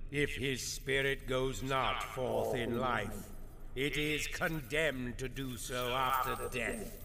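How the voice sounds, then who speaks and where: An actor playing a tormented, mournful ghost in a radio play, with solemn, drawn-out, wailing declamation. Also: male voice, mid-range voice, pushed and bright. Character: tormented ghost of Scrooge's dead business partner, mournful, warning. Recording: roomy, long reverb, clearly noisy, rattling metal.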